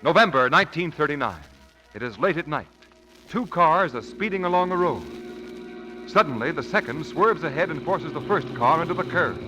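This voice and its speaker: A man's voice: a little high, lively intonation, moderate pace, music in the background, rough recording. A man announcing from a script in an emphatic, dramatic 1940s radio style. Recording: old 1940s radio broadcast recording.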